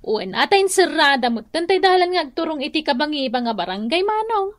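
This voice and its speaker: High-pitched Ilocano street vendor. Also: female voice, big pitch swings, fast, no room sound, clean studio sound. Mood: chatty. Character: chatty female street vendor of snacks. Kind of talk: radio drama acting.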